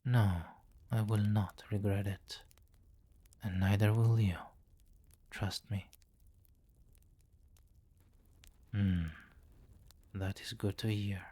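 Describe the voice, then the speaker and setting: A man voicing a possessive, seductive vampire king in an intimate ASMR roleplay, speaking formally and deliberately and often dropping into a whisper. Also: fairly low pitch, flat delivery, dark tone, husky, no echo. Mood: stern, seductive. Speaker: audio roleplay performer.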